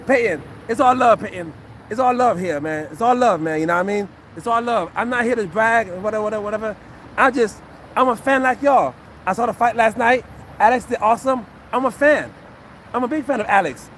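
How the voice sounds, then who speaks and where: A man's voice: high-pitched, a bit bright, a little hiss or hum. A man in his fifties, a retired fighter, breaking down a fight casually for a live chat, repeating himself earnestly. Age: 50s. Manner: repetitive, earnest, talks to chat. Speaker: retired fighter and acupuncture physician.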